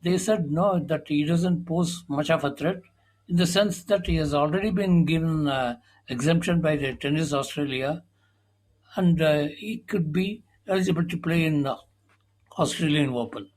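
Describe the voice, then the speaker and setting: A man's voice: a little high, moderate pace, a little mellow. A man with an Indian English accent, a sports journalist explaining at length, with many fillers. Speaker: senior sports journalist, guest. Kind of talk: conversational interview talk.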